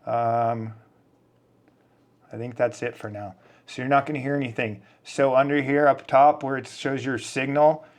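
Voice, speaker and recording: American male tutorial presenter, medium pitch, informal and unscripted, guiding listeners step by step. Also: fairly even intonation, moderate pace. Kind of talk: casual step-by-step software tutorial.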